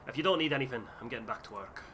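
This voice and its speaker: A man voicing a gruff, overworked ship's engineer in a game, curt and brusque. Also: mid-range voice, rapid-fire, pushed and bright, slight background noise, lightly acted. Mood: gruff, impatient. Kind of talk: voiced game dialogue.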